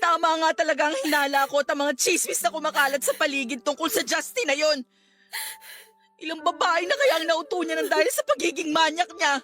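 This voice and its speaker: Piercing, fiery young Filipina cousin. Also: female voice, high voice, fairly quick, fairly bright tone. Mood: indignant. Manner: scolding, emphatic, emotional. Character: fiery, protective, outraged young female cousin. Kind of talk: Filipino radio drama acting. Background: background music.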